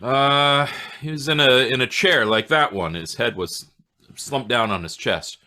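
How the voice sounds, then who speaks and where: A man's voice: mid-range voice, unhurried, pushed and bright. A man playing an easygoing homicide police inspector in an old-time radio detective drama, wry and matter-of-fact. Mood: puzzled.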